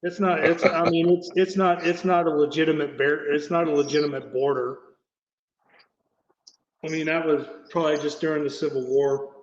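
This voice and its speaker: A man's voice: a little high, little pitch movement, normal speed. A man from Oklahoma chatting on a livestream panel, blunt and full of stammering restarts. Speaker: panel guest. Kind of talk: casual livestream chat. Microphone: online voice call. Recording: echoey, muffled online call audio.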